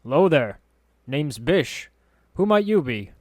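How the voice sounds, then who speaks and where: A man voicing a blunt, suspicious male thug guarding a doorway, gruff and wary. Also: a little high, big pitch swings, slow pace, darkish tone, no room sound, studio quality, pitched above the performer's own voice, heavily acted.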